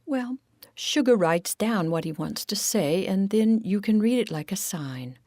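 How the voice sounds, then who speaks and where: A woman's voice: medium pitch, lively intonation, measured pace, a little mellow, no room sound, clean studio sound, pitched above the performer's own voice. A woman voicing eager, kindly lady ladybugs in a storybook, chattily explaining things.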